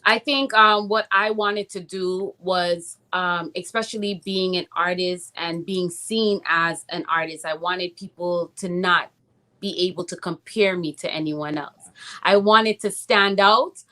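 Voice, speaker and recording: A woman's voice: lowish voice, fairly even intonation, unhurried, pushed and bright. A woman recording artist from Trinidad and Toronto, talking about her music in a casual interview, thoughtful and full of "um" and "you know". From born in Trinidad, raised in Toronto. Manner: reflective, with frequent "um" and "you know".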